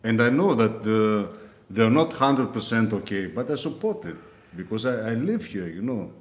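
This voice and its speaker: A man from Greece whose first language is Greek, speaking halting English as a guest, repeating himself and getting heated. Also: fairly low pitch, sing-song. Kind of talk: casual conversation.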